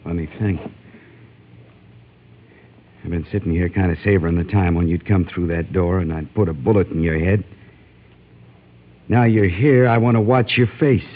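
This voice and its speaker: A man's voice: sits low, normal speed, pauses often, slight background noise, natural voice. An actor playing a vengeful, menacing Western gunman with a folksy drawl in an old radio drama. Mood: vengeful, taunting. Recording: muffled vintage radio recording, phone-like.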